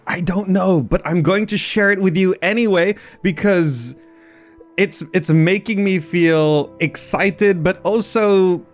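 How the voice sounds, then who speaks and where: A man hosting a gaming video with casual, off-the-cuff commentary, often repeating words as he muses aloud. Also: on the high side.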